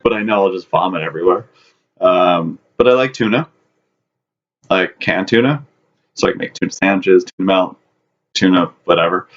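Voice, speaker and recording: A man's voice: deep voice, normal speed, pauses often, lo-fi sound. A man co-hosting a casual chat, answering questions in a laid-back, joking way.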